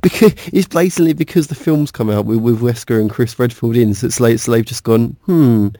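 A man's voice: mid-range voice, a little fast, dark tone, dry recording, studio quality. A man co-hosting a podcast, bantering casually and teasingly, often repeating words as he cuts in. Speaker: podcast co-host.

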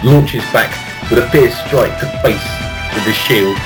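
A man's voice: mid-range voice, a little slow. Amused man improvising over background music. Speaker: podcast host. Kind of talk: improvised comic banter.

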